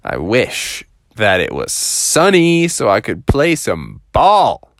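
A man voicing an easygoing, excitable young frog in a children's story, talking in a casual, slangy, enthusiastic way. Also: fairly low pitch, takes it slowly, no echo, clean studio sound, full character voice. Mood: eager, casual. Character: easygoing, excitable young male frog who loves baseball.

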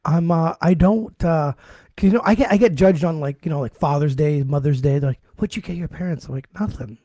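A man's voice: on the high side, a little fast, no echo, studio quality. A man co-hosting a casual podcast, joking around and rambling through his thoughts. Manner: joking, rambling, animated asides. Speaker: podcast co-host.

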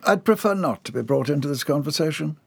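A man's voice: fairly high pitch, lively intonation, quick pace, vocal fry, breathy edge, dry recording, voice pushed up, exaggerated voice. A man voicing a prim, haughty butler. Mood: aloof. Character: prim, aloof male butler.

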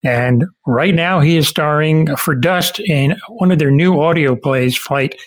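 A man's voice: on the high side, measured pace. A man hosting a podcast interview in a casual, effusive and informal way. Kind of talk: casual enthusiastic interview chat.

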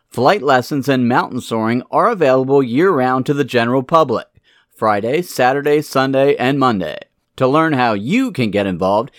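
An American man hosting a gliding podcast, reading the intro steadily and then interviewing a guest. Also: mid-range voice. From United States. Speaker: podcast host.

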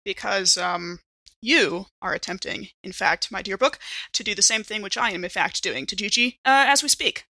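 A smug doctor played by a woman speaks with arch, pompous formality. The voice is bright and mid-pitched, for a tabletop horror game. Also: normal speed, creaky, dry recording, rough recording.

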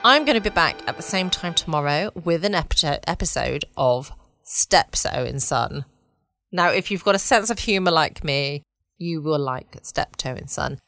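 A woman's voice: low-pitched, lively intonation, normal speed, a bit bright, dry recording. A woman, chatting as host of a late-night bedtime podcast. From United Kingdom.

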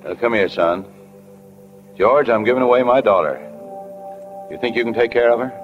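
A man's voice: medium pitch, normal speed, natural voice. An actor playing the steadying, protective middle-aged father of a bride in a 1940s radio drama. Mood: earnest, firm. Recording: dull 1940 radio, faint music.

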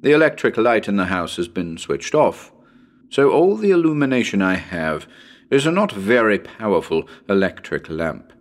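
A man reading as a genial, well-spoken radio presenter of a ghost hunt, with a measured, wry and formal manner. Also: fairly low pitch, very animated. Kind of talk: dramatic reading as live radio broadcast.

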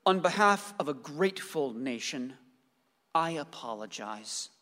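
An actor playing an excitable, adoring neighbor in a radio play, earnest and effusive in his devotion. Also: a little high, measured pace, in a large hall.

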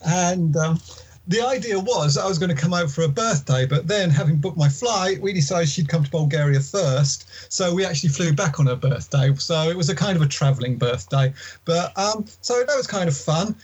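Husky-voiced jokey Englishman. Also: fairly high pitch, lively intonation, fairly quick. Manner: jokey, self-mocking. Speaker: podcast co-host. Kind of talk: casual chat. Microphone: laptop mic.

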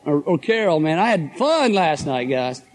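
A middle-aged man with a hoarse voice, giving an enthusiastic, folksy motivational talk to a live audience. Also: a little high, big pitch swings, moderate pace. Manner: folksy, enthusiastic, addresses crowd as guys and folks. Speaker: motivational speaker at a business convention.

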